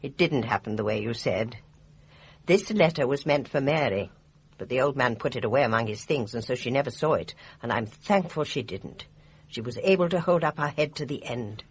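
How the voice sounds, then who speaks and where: An actress playing a blunt, defensive older village nurse with a British accent in a radio drama. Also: female voice, rumbling low, animated, moderate pace, breathy edge, exaggerated voice. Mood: conceding, tart. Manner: blunt, guarded, indignant.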